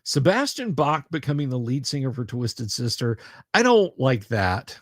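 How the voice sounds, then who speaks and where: A man's voice: medium pitch, big pitch swings, normal speed, no room sound, clean studio sound. A man hosting a casual livestream, rambling, repeating his words and making sarcastic asides while reading viewer comments. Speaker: livestream host.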